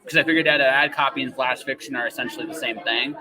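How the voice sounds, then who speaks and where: A man's voice: mid-range voice, fast talker. Rambling man podcasting over crowd chatter. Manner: rambling, emphatic, often checking with "right?". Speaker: podcast host. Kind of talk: casual interview chat.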